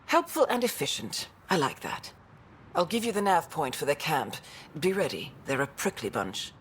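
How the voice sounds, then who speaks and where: A woman's voice: deep voice, sing-song, normal speed, a little hiss or hum. A woman playing a stranded, exasperated scientist in a video game, talkative and wry. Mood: brisk, wry. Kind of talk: game dialogue acting.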